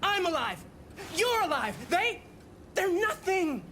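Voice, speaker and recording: A man playing a hot-headed, fearful young son in a cinematic video game, agitated and forceful. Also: very high voice, sing-song, slow, a little hiss or hum, full character voice. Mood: angry, frightened. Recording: dramatic score under the voice.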